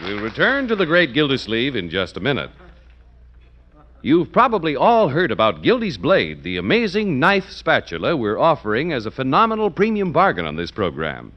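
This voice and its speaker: A man announcing a radio show and reading a commercial in a brisk salesman's pitch, on a dull old radio recording. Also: wildly swooping pitch.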